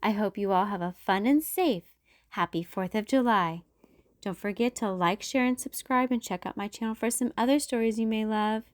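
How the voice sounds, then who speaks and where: A woman hosting a storytime, reading a rhyming picture book aloud in an expressive, sing-song way with big leaps in pitch. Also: normal speed. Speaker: children's book reader.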